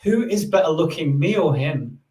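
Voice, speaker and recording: A man's voice: fairly high pitch, lively intonation, normal speed, darkish tone, echoey room. A man hosting a live podcast interview, talking casually with many fillers and restarts. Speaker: podcast host.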